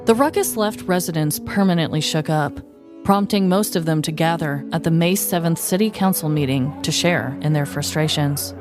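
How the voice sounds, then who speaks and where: Female podcast host, slightly low voice, wry and playful scripted narration over light music. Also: moderate pace. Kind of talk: scripted comic true-crime storytelling.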